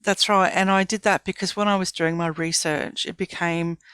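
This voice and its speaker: A woman's voice: lowish voice, a bit bright, clear, resonant tone, no echo. An Australian woman in her fifties speaking candidly and reflectively as a guest in a conversational interview. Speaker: interview guest, small business owner.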